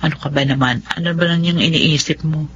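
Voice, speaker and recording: A woman's voice: deep voice, fairly even intonation, a little fast, fairly bright tone, a little hiss or hum. A woman with a Filipino accent playing a blunt, scolding neighbour and friend in a Tagalog radio drama. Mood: blunt, reproachful. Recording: dull lo-fi radio drama sound.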